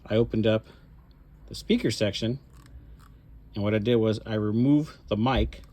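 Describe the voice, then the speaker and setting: A man with a fairly low voice talks casually as a hobbyist showing off handmade work. He explains things step by step in a loose, rambling way, like an informal show-and-tell. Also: normal speed, warm tone. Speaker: hobbyist presenter showing a cosplay prop mod.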